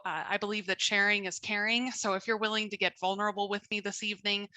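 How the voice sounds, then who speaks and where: A woman's voice: mid-range voice, sharp tone, no room sound, low-quality recording. A woman from Colorado giving an upbeat, chatty talk as a guest speaker on a video call. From Colorado, USA. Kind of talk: virtual presentation.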